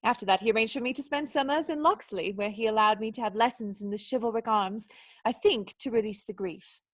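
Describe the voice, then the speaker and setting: A woman's voice: a little high, fairly quick, natural voice. A woman playing a proud, defensive young noblewoman with formal, earnest speech in a radio play. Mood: wistful.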